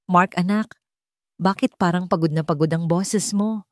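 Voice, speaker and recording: A woman's voice: on the low side, moderate pace, dry recording, studio quality, natural voice. A woman playing a fierce, protective older mother and grandmother in an audiobook drama, blunt and insistent. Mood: worried, insistent. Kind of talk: dramatic audiobook character dialogue.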